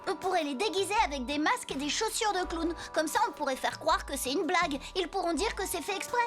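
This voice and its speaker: A woman's voice: extremely high pitch, quick pace, a bit bright. A woman voicing a bossy, eager child who pilots robots, insistent and commanding, in a French cartoon dub. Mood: scheming. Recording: music behind the voice.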